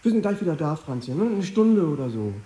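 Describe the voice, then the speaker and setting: An actor playing a grudging, mocking teenage brother in a radio drama. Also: male voice, on the high side, sing-song, brisk, dark tone. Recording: echoing room with faint hiss.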